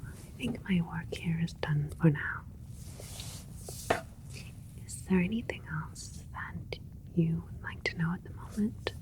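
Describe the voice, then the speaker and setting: A woman playing a gentle alien scientist in an ASMR medical roleplay, whispering in a breathy, soothing, politely clinical way. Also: on the low side, measured pace, long pauses, natural voice, low-quality recording. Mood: attentive, clinical.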